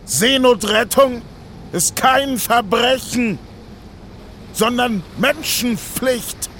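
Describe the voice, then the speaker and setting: An actor playing an earnest, impassioned sea rescuer in a theatrical monologue, speaking deliberately with dramatic pauses. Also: male voice, very high-pitched, bright, forceful tone, slight background noise, heavily acted. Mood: solemn, impassioned. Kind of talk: theatrical stage monologue, satirical revue.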